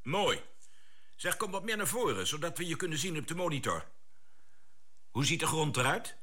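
A man's voice: on the high side, very animated, normal speed, pushed and bright, full character voice. A man acting a composed, decisive spaceship commander in a science fiction radio drama, with an authoritative, businesslike delivery.

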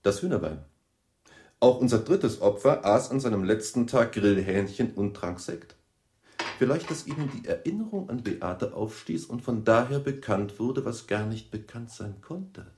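A man's voice: mid-range voice, lively intonation, normal speed, a little mellow, close to an everyday voice. An actor in a German radio drama playing a dogged male police detective, probing, ironic and mock-courteous.

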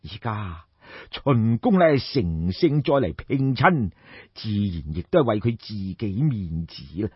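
Elderly royal counsellor for audio storytelling, acted by a man: shrewd, deferential, deliberate, roughened voice, slightly muffled recording. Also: fairly low pitch, big pitch swings, no room sound, voice pushed down, exaggerated voice. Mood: grave. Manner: measured, formal, deliberate counsel. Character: grave old male royal minister, deferential, shrewd.

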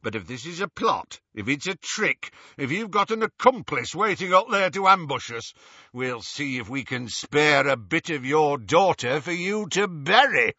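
A nasty sneering dark wizard, rough and loud, raised pitch, forceful as hell. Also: male voice, animated, moderate pace, hardly any pauses, dry recording, muffled recording, exaggerated voice. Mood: threatening. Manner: roaring, mocking, menacing. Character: brutal, sneering male dark wizard thug.

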